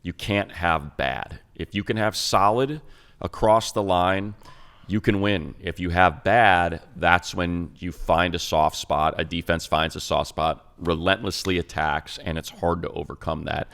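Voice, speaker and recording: A middle-aged man with a very low, gravelly voice breaks down football on a sports podcast. He talks in an emphatic, conversational way and leans on fillers as he builds his points. He sounds like a seasoned radio announcer. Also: unhurried, fairly bright tone. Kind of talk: casual sports podcast analysis.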